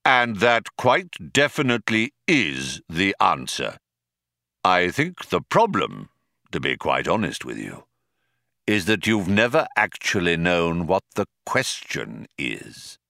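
A man plays a vast, patient supercomputer in an audiobook. The delivery is slow and grand, mild but pompously declamatory, with a bright, close, dry sound. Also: low voice, wildly swooping pitch, gravelly, no room sound, full character voice. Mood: mild, frank.

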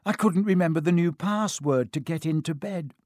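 Man acting a frightened young schoolboy, high-pitched, plaintive, pleading, timid, storybook character. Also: moderate pace, darkish tone, dry recording, clean studio sound, lightly acted. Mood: relieved, anxious.

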